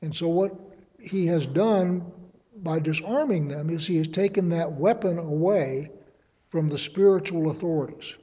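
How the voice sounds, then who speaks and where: A man teaching a verse-by-verse Bible study, reasoning aloud in a measured way and offering his readings as suggestions. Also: fairly high pitch, mellow, slightly rough, big-room sound, muffled recording.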